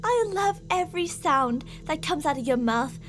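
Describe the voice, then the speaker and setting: Very high-pitched obsessive yandere stalker played by a woman. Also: lively intonation, moderate pace, fairly bright tone, faint music behind. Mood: menacing sweetness. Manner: theatrical, cooing endearments that turn reproachful. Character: obsessive delusional yandere woman stalker, sweetly menacing, jealous, volatile. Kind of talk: ASMR roleplay monologue.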